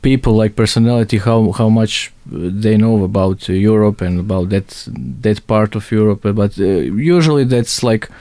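A man from Croatia with a Croatian accent, answering interview questions conversationally and haltingly, searching for words. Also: on the low side, warm tone, dry recording. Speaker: interview guest.

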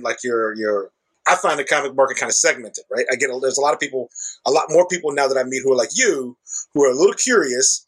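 Husky middle-aged man, chatty. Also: medium pitch, fairly bright tone, rough recording. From Chicago, USA. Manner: chatty, explanatory, peppers speech with "like" and "right?". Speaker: comic shop owner, guest. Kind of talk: conversational podcast interview.

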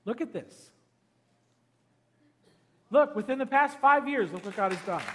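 A high-pitched man preaching an urgent altar call to a congregation. He pleads and presses, climbing to loud, insistent peaks before sinking to a near-whisper. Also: expressive, measured pace, a faint crowd. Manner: urgent, pleading, swells loud then drops to a hush. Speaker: pastor. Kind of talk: evangelistic altar call sermon.

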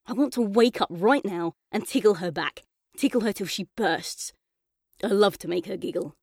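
A woman reading a children's audiobook as a chatty, earnest young boy telling his own story, expressive and colloquial. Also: medium pitch, normal speed, creaky, husky, dry recording, studio quality. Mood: playful, frustrated. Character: boy narrator in a coma, chatty, frustrated, yearning. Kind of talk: first-person children's audiobook narration.

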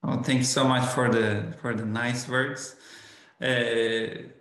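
Man, Turkish accent, halting interview answers, slow, echoey room. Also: medium pitch, flat delivery, a bit bright. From Turkey. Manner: slow, halting, many "uh" fillers. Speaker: school principal.

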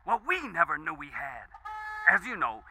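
An actor playing a breezy, slangy man on a call with a pitch to make, teasing it out in a satirical audio drama. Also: wildly swooping pitch, normal speed, bright, forceful tone, slight background noise, heavily acted, low-quality recording. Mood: breezy, sly.

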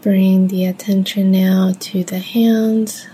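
A soft-spoken woman leading a guided meditation in gentle, measured phrases with long pauses. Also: mid-range voice, little pitch movement, slight background noise, poor audio.

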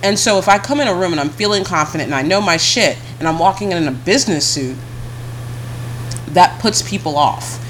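Blunt woman with a deep, heavy voice. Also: expressive, brisk, slight background noise, poor audio. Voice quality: deep, heavy voice softened slightly higher. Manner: blunt, frank, swears, laughs often. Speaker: podcast co-host. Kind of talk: casual podcast chat.

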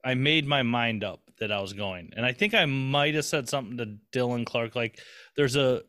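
A man's voice: mid-range voice, moderate pace, pushed and bright, no echo, clean studio sound. A man co-hosting a podcast in casual chat, rambling and swearing casually with lots of restarts. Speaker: podcast co-host.

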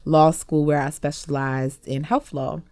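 A young woman originally from Cameroon speaks as an interview guest. Her voice is distinctly low, recorded dry without room sound, and her answers are conversational. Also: little pitch movement, a little slow, a little mellow, no room sound. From Cameroon, grew up partly in Maryland. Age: young adult. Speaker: interview guest, law clerk.

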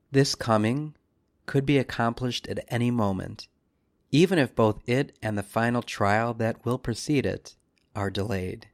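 A man with a fairly low voice reads a guided prayer meditation in the role of a priest. His phrasing is solemn and measured, with deliberate pauses between short lines. The sound is close and dry, with little room around it. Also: dry recording. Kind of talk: scripted devotional reading and guided meditation.

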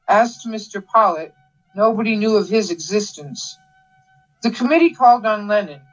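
A woman reading a polemical letter aloud as a podcast reader, in a dramatic style with emphatic, deliberate phrasing. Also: medium pitch, fairly bright tone. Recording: dull lo-fi sound with music behind. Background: music.

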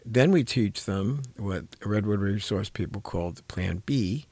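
A man's voice: on the low side, lively intonation, measured pace, darkish tone, husky, no echo. An older man, a clinician, talking reflectively and earnestly, searching for his words and leaning on key ones. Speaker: clinician and programme host. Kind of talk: reflective conversational talk on caregiving.

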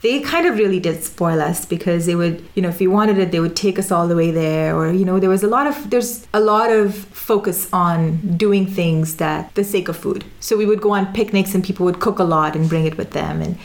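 Woman, low voice, gravelly fry, relaxed conversational storytelling, frequent fillers and restarts. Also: fairly quick, few pauses. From Sudan, raised in Dubai. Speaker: interview guest.

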